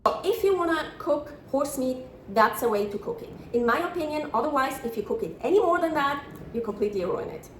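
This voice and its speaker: Woman, 30s, from Switzerland; chatty, emphatic vlogger, slightly high voice, roomy echo. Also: animated, fairly quick, a little hiss or hum. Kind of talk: casual vlog narration.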